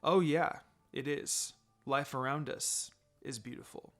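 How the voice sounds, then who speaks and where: A man voicing a quiet, agreeable young boy in a fan-fiction story reading. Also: medium pitch, measured pace, faint music behind, close to an everyday voice. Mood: reserved.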